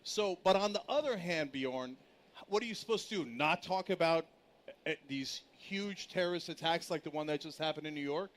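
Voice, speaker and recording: Male panel moderator, higher-than-average pitch, emphatic and argumentative, live conference stage. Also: normal speed.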